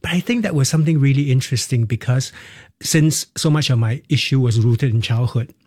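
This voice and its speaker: Mellow middle-aged man, Cantonese speaker. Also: medium pitch, no room sound, clean studio sound. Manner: halting, candid, tags phrases with "right" and "you know". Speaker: interview guest, performer and counselor. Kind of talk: reflective personal storytelling in an interview.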